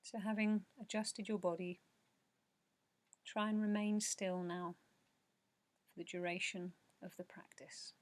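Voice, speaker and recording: A woman leading a guided meditation in a soft, slow, soothing way, with measured pauses and breathy phrase endings. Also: medium pitch, level tone, dry recording. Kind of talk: guided meditation narration, yoga nidra.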